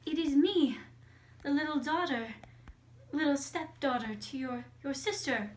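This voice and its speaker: A woman's voice: high voice, measured pace, pitched above the performer's own voice, low-quality recording. A woman voicing a polite, frightened little girl in a fairy tale, hesitant and repeating herself. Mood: polite, timid.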